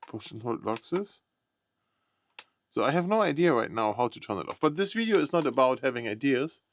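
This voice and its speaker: A man's voice: medium pitch, big pitch swings, a little fast, a little hiss or hum. A man giving casual, unscripted unboxing commentary, thinking aloud in short, tentative remarks. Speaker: product unboxing vlogger.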